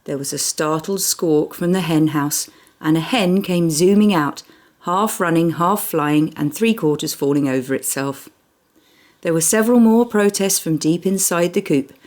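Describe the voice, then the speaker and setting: Low-pitched woman reading a children's story. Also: unhurried, darkish tone. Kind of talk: expressive children's audiobook narration.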